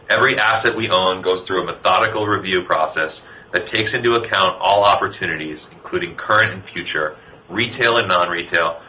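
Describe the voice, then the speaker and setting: Low, forceful executive man reading prepared remarks. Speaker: company executive. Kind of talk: scripted business presentation on an earnings call. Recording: dull lo-fi conference call, faint noise.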